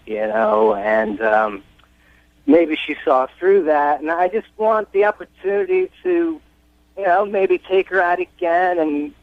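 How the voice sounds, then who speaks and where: A man's voice: a little high, no echo. A man phoning in to a radio show, chatting casually and rambling through his story in a self-deprecating way. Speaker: radio show caller seeking dating advice. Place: phone call to radio show. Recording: dull phone-in line on radio.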